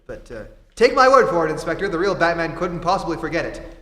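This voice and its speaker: An actor playing an earnest, determined reporter who is secretly a superhero, in 1940s radio style. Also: male voice, fairly high pitch, very animated, fast, bright, forceful tone. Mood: urgent, insistent. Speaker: amateur theatre actor. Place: theatre stage before a live audience. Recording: echoey hall, live stage performance, noisy.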